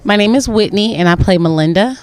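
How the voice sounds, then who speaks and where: Soft-spoken young woman with a smooth voice. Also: medium pitch, animated, a little fast. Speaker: stage actress. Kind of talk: casual interview.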